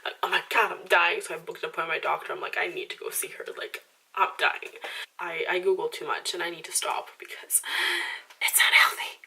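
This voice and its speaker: Young woman vlogger with a slight Romanian accent, low gravelly tone, chatty and self-deprecating, mic a little off. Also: fairly even intonation, fairly quick, sharp tone, whispered, far from the mic, rough recording. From Romanian background. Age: 20s. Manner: rambling, lots of "like" and restarts, self-deprecating. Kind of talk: casual chatty monologue to camera.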